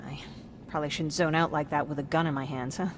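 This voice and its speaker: A woman's voice: sits low, fairly even intonation, fast talker, background noise, lightly acted. A woman playing a fiery, defiant civilian scavenger in a video game, blunt and emotional. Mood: subdued, uneasy. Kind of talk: video game dramatic acting.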